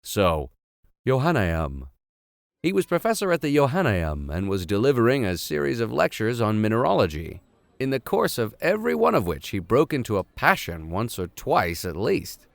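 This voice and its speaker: A man reading classic literature aloud in an expressive, theatrical and playful way. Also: mid-range voice, normal speed, creaky, no echo, studio quality. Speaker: audiobook reader. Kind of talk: expressive narration of classic literature with casual asides.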